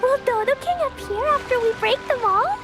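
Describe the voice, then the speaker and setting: A woman's voice: very high-pitched, animated, normal speed, music in the background. A woman voicing a cheerful, earnest little girl with a childlike manner in a video game. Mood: curious, excited. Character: cheerful little girl fond of blowing things up. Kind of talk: video game character acting.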